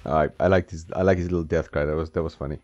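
A man's voice: very deep voice, steady tone, very fast, darkish tone, no room sound. A man giving casual, reactive commentary while he plays a retro fighting game, chuckling often and talking back to the game. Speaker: retro game video host. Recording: dull dry audio with game music behind.

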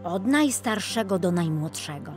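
A woman narrating a children's audiobook in an expressive storytelling style. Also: medium pitch, normal speed, soft background music.

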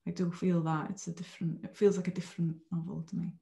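A woman's voice: fairly low pitch, little pitch movement, quick pace, vocal fry, mellow. A Welsh woman in her thirties, a Welsh-first-language author, speaking thoughtfully and with hesitations on a video call from a small room at home. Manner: thoughtful, hesitant, repeats words as she thinks. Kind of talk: relaxed interview talk.

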